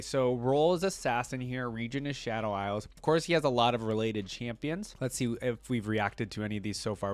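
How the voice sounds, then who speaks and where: A man's voice: mid-range voice, dry recording. A man hosting a reaction video in a casual style, reading lore aloud and adding conversational asides of his own. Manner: conversational asides on what he reads.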